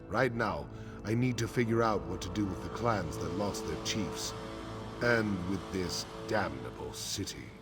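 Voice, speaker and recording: A man playing a weary, solemn orc chief in a fantasy game, speaking gravely in a deep, gravelly voice. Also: full character voice. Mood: measured, resolute.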